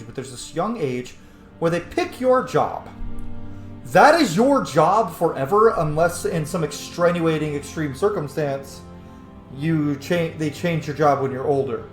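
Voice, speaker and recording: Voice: mid-range voice, expressive, a little slow. A gamer giving casual, opinionated playthrough commentary with some swearing. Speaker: gaming video host. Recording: echoey room, faint game music.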